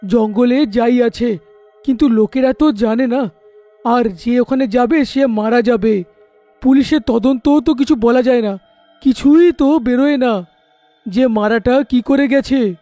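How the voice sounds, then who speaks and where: A distraught village man, played by a male voice actor, pitched very high with a soft, dark tone. He speaks in dramatic laments, as in a cartoon horror tale. The voice is close and dry, over music. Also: a little slow, no echo. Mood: grave, concerned. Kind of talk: animated horror story voice acting.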